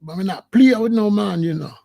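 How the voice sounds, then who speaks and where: A man's voice: high voice, brisk, creaky, warm tone. A man voicing a boastful, tough-talking rasta with a put-on Jamaican patois, full of repeated tags. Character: swaggering tough male rasta, boastful, no-nonsense. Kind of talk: comic impression.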